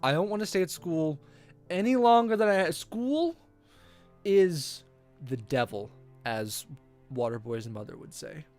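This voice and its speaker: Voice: medium pitch, animated, a little slow, a little mellow, soft background music. A game streamer reading a visual novel aloud in a playful, theatrical way, stumbling over the text and reacting casually.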